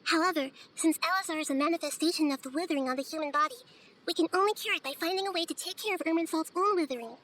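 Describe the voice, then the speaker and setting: A woman voicing a wise, gentle little-girl god in a video game, speaking in a measured, thoughtful, articulate way. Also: extremely high pitch, slight background noise. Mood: earnest, thoughtful. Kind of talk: scripted video game voice acting.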